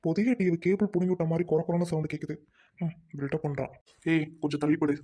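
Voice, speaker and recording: A man playing a kind young husband who soothes and apologizes to his wife in a gentle, playful way. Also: fairly high pitch, fast talker, husky.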